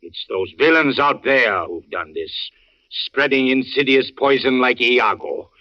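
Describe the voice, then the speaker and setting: An actor playing an anxious, grandiose old stage patriarch in a radio drama, fretful and declamatory. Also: male voice, mid-range voice, lively intonation, unhurried, close to an everyday voice. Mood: impassioned. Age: older. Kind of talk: 1940s-50s radio drama acting. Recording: muffled old radio recording.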